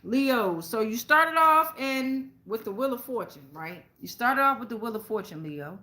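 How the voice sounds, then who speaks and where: Casting: American woman as tarot host, sharp bright tone, fairly high pitch, casual, blunt and slangy delivery. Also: animated, normal speed. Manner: blunt, slangy, freely swearing. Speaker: tarot reader and show host. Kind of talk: casual tarot reading to viewers.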